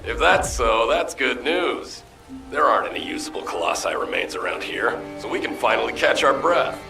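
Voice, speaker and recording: Very gravelly, hot-headed male commander. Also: mid-range voice, animated, moderate pace. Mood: exasperated. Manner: blunt, exasperated. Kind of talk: dramatic video game cutscene acting. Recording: distant voice under music.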